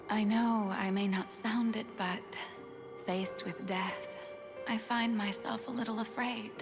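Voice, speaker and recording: A woman playing a graceful, solemn goddess in a video game, with measured, theatrical and earnest delivery. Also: medium pitch. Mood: resigned, tender. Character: graceful, solemn female god calmly facing her own execution. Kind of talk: scripted dramatic game voice acting.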